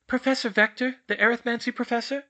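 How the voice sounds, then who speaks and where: A man's voice: very high-pitched, fairly even intonation, fast, pushed and bright, pitched above the performer's own voice, full character voice. A man voicing an earnest, anxious young schoolgirl who speaks politely and hesitantly. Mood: tentative.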